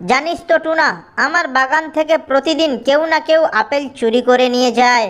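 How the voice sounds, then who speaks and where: A man's voice: very high-pitched, steady tone, a little fast, a bit bright, voice pushed up. A man voicing a grumpy male jackal uncle in a cartoon, with a scolding, indignant manner. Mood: bargaining. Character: grumpy male jackal uncle guarding his apple orchard.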